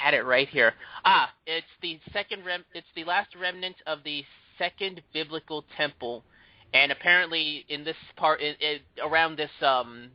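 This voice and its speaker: A man's voice: on the high side, dry recording. A man hosting a casual movie news show, rambling with many fillers and restarts. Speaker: podcast host. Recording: dull, dry lo-fi sound.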